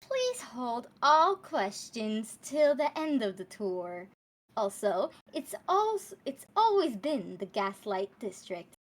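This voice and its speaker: A woman's voice: fairly high pitch, very animated, slow pace, exaggerated voice. A woman playing a chirpy but testy, stubborn tour guide with dismissive presenter patter, in a comedy table read. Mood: testy, defensive. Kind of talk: live table read of a comedy radio show.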